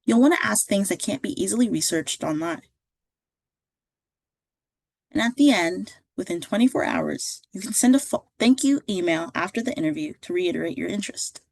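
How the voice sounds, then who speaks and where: A woman's voice: mid-range voice, lively intonation, moderate pace, creaky, dry recording. Woman narrating instructional slides, dry close sound. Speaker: career services presenter.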